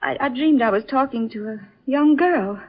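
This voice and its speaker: A woman playing a frightened young film actress haunted by dreams, breathless and halting in 1940s radio melodrama style. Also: high voice. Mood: shaken, horrified. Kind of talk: 1940s radio drama acting. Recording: muffled vintage radio recording.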